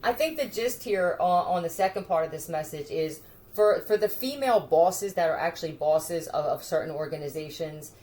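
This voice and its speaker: A woman with a somewhat low voice rambles to the camera in a scattered stream. She is crude and blunt, swears freely and speaks straight at people who are not there. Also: normal speed, a little mellow. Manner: crude, scattered, addresses unseen listeners. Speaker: video creator.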